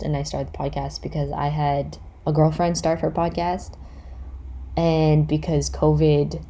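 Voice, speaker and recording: Woman, thirty, Canadian accent, deep for a female voice, mellow tone, candid podcast host, casual solo talk. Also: gravelly.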